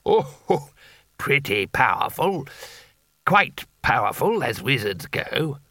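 A man's voice: medium pitch, sing-song, slow pace, sharp tone, no echo, studio quality, heavily acted. A man voicing a jovial, boastful, portly older wizard in a storybook, with a hearty, patronizing manner. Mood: amused, boastful. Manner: hearty, patronizing, tags questions with "eh".

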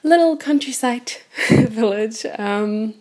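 A woman's voice: on the high side, slow, darkish tone. A German-born woman in her twenties who grew up in Portugal, hosting an unprepared solo podcast and talking candidly with many ums, recorded on a phone while sitting on the floor indoors. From Germany, raised in Portugal. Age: 20s. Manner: chatty and candid, frequent ums and laughs. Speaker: podcast host.

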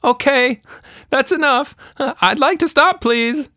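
A high, eager little girl's voice, as a man puts it on, curious and chatty. Her lines lift and fall a lot. The read is dry and close. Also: very animated, measured pace, a bit bright, no room sound, full character voice. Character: curious, lively young girl.

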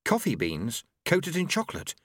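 A man voicing a brisk, irritable woman with a commanding, sarcastic classroom manner in a story. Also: sits high, sing-song, fairly bright tone, no room sound, studio quality, pitched above the performer's own voice, heavily acted. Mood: incredulous. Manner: snappish, commanding.

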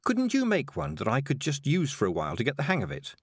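Audiobook character: male reader as frustrated young witch girl, raised pitch, earnest, complaining, animated. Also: fast, husky, dry recording, clean studio sound, full character voice. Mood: questioning. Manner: complaining, wailing.

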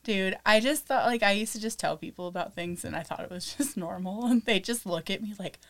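A young woman co-hosting a podcast, chatting casually with lots of fillers and laughs, a little raspy. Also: mid-range voice, a little fast. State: slightly raspy from vaping. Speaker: podcast co-host. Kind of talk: casual chat.

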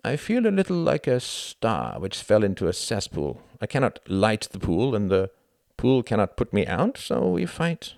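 A man's voice: fairly low pitch, wildly swooping pitch, moderate pace, vocal fry, hardly any pauses, darkish tone, dry recording, studio quality, pitched above the performer's own voice, exaggerated voice. A man voicing an earnest, brooding young German man with a wistful, heartfelt manner.

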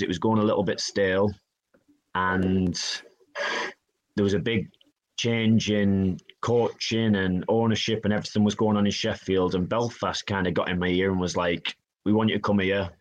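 Low-voiced man, chatty. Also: steady tone, moderate pace. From Northeast England. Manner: chatty, self-deprecating, quotes others in dialogue. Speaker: guest, hockey player. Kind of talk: casual interview storytelling.

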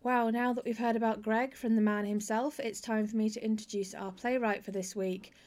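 A woman hosting an interview podcast, speaking in a measured, articulate, explanatory way. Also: fairly high pitch, steady tone, a little mellow. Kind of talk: interview chat and scripted introduction.

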